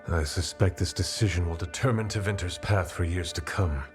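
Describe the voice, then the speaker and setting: A man playing a grave, thoughtful counsellor among rebels in a video game, speaking in a measured, formal way. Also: deep voice, over music, close to an everyday voice. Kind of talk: video game character dialogue.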